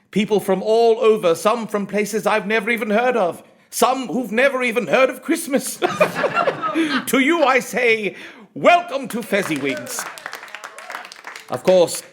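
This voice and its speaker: An actor playing a jolly, generous old warehouse master, hearty and avuncular, in theatrical radio play style. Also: male voice, sits high, a bit bright, slight background noise.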